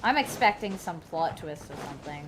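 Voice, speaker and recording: A young woman streaming a game, chatting casually and reacting loudly. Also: low-pitched, lively intonation, moderate pace. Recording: noisy game stream audio.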